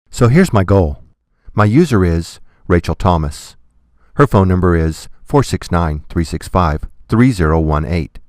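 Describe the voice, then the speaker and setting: Low-voiced man narrating a tutorial. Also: a little mellow, dry recording, studio quality. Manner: methodical, instructional. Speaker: software tutorial instructor. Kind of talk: scripted step-by-step tutorial narration.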